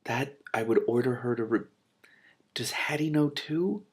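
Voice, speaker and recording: A man's voice: medium pitch, unhurried, breathy edge. A man reading a story aloud expressively, dropping in his own dramatic, spontaneous reactions as he goes. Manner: dramatic, reacts in whispers and exclamations. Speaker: host reading a novel aloud with commentary.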